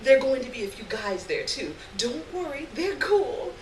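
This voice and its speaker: A woman's voice: high-pitched, sing-song, moderate pace, a little mellow, pitched above the performer's own voice. A woman voicing a breezy, persuasive popular teenage schoolgirl in a live story reading, casual and upbeat. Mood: casual, coaxing. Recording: echoey, noisy live room, distant mic.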